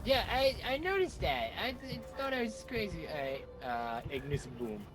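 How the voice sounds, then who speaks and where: A man playing an online game with friends, calling out spells and breaking into loud laughs. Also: high-pitched, sing-song, normal speed, poor audio. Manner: loud, laughing outbursts, casting spells aloud. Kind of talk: casual gaming chat.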